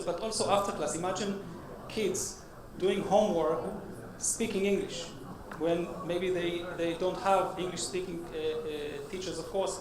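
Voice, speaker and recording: A man with a Hebrew accent from Israel giving a startup pitch at a conference, halting and full of uh fillers. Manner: halting, many uh fillers and restarts. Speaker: startup CEO presenting. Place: conference hall stage. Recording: echoey hall with noisy background.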